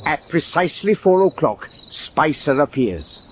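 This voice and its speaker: An excitable British navy man tells a war story in a dramatised narration. His voice sits fairly high and moves around in lively swings, with some background noise and ambience. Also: a little slow. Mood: measured narration. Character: excitable British naval man recounting battle action.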